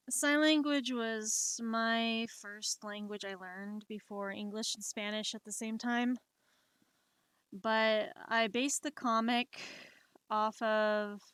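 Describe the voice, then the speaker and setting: Slow-talking young woman streamer. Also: medium pitch, a bit bright, smooth, no echo. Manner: unhurried and rambling, with many fillers and restarts. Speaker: livestreamer. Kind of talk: casual chat while drawing on stream.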